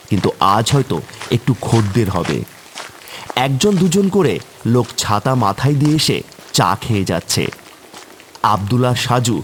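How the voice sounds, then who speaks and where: A man's voice: mid-range voice, slight background noise. A man narrating a scripted horror tale as a storyteller for a cartoon.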